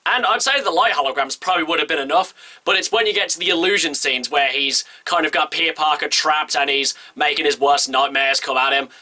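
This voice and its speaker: Fast-talking young man with a stuffy cold. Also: a little high, bright, forceful tone. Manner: chatty and enthusiastic, quick run-on sentences. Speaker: film reviewer. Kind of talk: casual movie review to camera.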